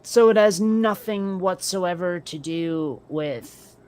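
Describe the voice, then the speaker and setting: A woman's voice: lowish voice, slow, darkish tone, clear, resonant tone. A woman from Vermont with a faint New England accent, chatting casually as co-host and filling her talk with "like" and side remarks. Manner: chatty, many "like"s and asides. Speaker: podcast co-host. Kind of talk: casual chat.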